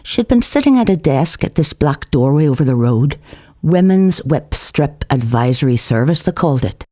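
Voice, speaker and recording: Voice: medium pitch, big pitch swings, normal speed, dark tone. A narrator reads a literary novel aloud in a colloquial, theatrical first-person style. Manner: theatrical, shifts voice for dialogue. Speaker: author reading own novel aloud.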